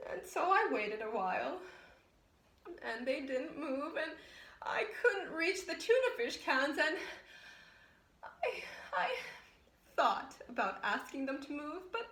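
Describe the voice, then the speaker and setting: Frazzled, high-pitched unhinged woman, echoey room. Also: heavily acted. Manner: volatile, swinging between hushed confiding, sobbing laughter and screaming. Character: frazzled, unhinged woman ranting bitterly about life and people. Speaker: actress performing a one-woman monologue. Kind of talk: theatrical stage monologue. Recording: roomy echo, distant microphone.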